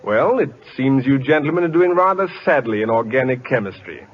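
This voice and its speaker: An actor playing an earnest, bookish chemistry professor in a 1940s radio style, with precise, formal diction. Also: male voice, mid-range voice, animated, brisk, breathy edge. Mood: brisk, kindly. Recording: dull old radio broadcast with music.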